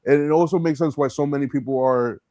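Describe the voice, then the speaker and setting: A man's voice: on the high side, quick pace, mellow, no echo. A man hosting a casual chat, interviewing in slangy, agreeable, laid-back phrasing. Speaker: podcast host, interviewer.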